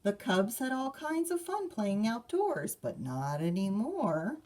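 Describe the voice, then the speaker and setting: A woman reading a picture book aloud to children as a librarian, expressive and measured, with asides to listeners. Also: on the high side. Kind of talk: children's storybook read-aloud.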